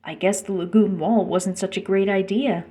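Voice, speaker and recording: A woman's voice: medium pitch, steady tone, normal speed, warm tone, close to an everyday voice. A woman playing a kind, considerate young prince in a storybook read-aloud. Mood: regretful.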